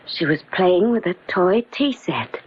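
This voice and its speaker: An actress playing a troubled, wary invalid lady in a 1940s radio drama, speaking in a dramatic, deliberate way. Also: fairly low pitch, animated, slight background noise. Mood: puzzled, probing. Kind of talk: 1940s radio acting, first-person suspense narration. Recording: tinny 1940s radio recording.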